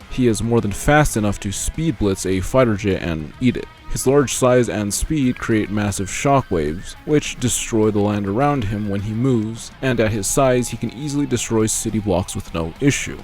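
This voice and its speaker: Male narrator, fairly low register, scripted explainer read over a light music bed. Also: darkish tone. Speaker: video essay narrator.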